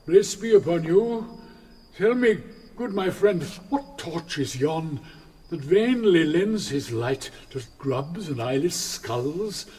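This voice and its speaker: A man's voice: fairly high pitch, animated, takes it slowly, a little mellow, breathy edge, heavily acted. An older man playing a fearful, pious old friar in classical Shakespearean radio drama, with anxious, declamatory delivery. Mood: anxious foreboding.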